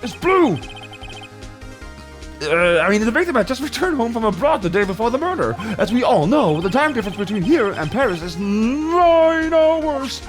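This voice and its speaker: Young game defence attorney, excitable, melodramatic, very animated intonation, acted by a man. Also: very high-pitched, normal speed, soft background music, voice pushed up. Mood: triumphant. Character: frantic, dramatic young male defence lawyer. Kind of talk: comic amateur video game dramatic reading.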